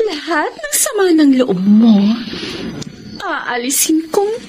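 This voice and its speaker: A woman's voice: high-pitched, wildly swooping pitch, takes it slowly, heavily acted. An actress playing a manipulative, cooing young mistress who wheedles her lover in a Filipino radio drama. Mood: sweetly wheedling. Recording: noisy ambience behind the voice.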